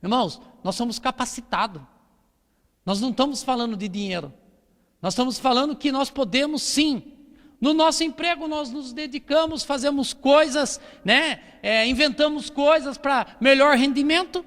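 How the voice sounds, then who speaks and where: A man's voice: very high voice, moderate pace, a bit bright. A man with a Brazilian Portuguese accent preaching a church sermon, exhorting with repetition and rhetorical questions. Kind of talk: church sermon with Bible reading. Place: church hall during a service. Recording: long hall reverb.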